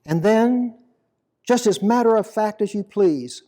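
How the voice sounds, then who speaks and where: A man reading a dramatic monologue poem as an aging, plain-spoken fisherman apostle, deliberate, reflective and full of guilt and devotion. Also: sits high, animated, warm tone. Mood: fond, reminiscing. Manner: slow, deliberate, reflective storytelling.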